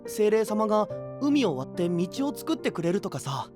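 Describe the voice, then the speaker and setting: 海の国を守る若い青年を演じる男性声優。柔らかく内省的で、真摯な話し方。. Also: male voice, high voice, sing-song, moderate pace, over music. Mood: pensive. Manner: soft, introspective, earnest. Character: gentle young male prince of a sea kingdom. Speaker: voice actor. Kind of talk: anime voice drama.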